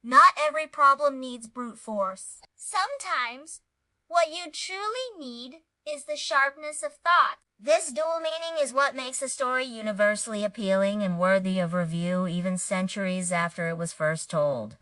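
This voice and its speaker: A woman's voice: fairly high pitch, a little slow, sharp tone, no echo. A woman reading a scripted children's story review as narrator, with an earnest, emphatic and dramatic delivery.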